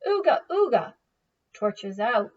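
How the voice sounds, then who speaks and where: A woman's voice: a little high, sing-song, takes it slowly, a little mellow, lo-fi sound. A woman voicing a bossy male caveman chief with a blunt manner for a storybook, in a distant recording. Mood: commanding.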